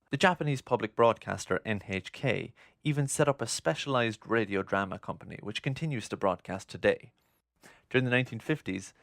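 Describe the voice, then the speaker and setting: A man narrating a scripted documentary in a measured, essay-like delivery. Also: on the low side, creaky, fairly bright tone, no room sound, clean studio sound.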